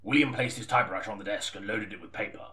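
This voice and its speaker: A man's voice: on the low side, very fast, recorded from a distance, natural voice. A man playing a histrionic, easily flustered actor in an audio comedy, with a theatrical, grandiose delivery. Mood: grandiose, brooding. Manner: theatrical, grandiose, prone to exasperated outbursts. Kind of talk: audio comedy sketch, dramatic narration.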